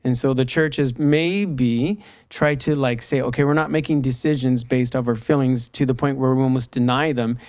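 A man hosting a casual faith talk, upbeat and quick to laugh, musing at length. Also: mid-range voice, steady tone, darkish tone, dry recording, studio quality. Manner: upbeat, laughs often, talks in long reflective runs. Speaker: podcast host. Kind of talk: casual chat.

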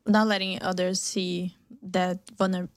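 A woman hosting a faith talk show in a casual, halting way, searching for her words as she goes. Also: fairly low pitch, flat delivery, no room sound.

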